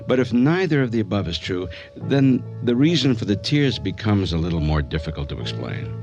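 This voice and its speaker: Doctor-narrator for radio drama: authoritative psychiatrist, animated intonation, precise explaining, music bed. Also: male voice, lowish voice, brisk, highs cut off. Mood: authoritative, explanatory.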